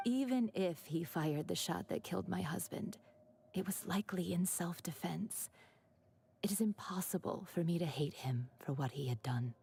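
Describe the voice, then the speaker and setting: A woman playing a gentle, guilt-laden widowed mother in a video game, speaking softly in a measured, reflective way. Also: fairly low pitch, lively intonation, creaky, husky, heavily acted. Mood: somber. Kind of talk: dramatic video game dialogue. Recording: faint noise from game livestream.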